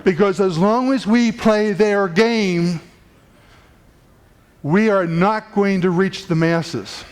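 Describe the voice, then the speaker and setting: A man's voice: high voice, slow. A man giving an emphatic, preachy talk to fellow professionals in a conference room. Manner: preachy, emphatic, builds up intensity. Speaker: chiropractic speaker. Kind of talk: motivational talk to a live audience.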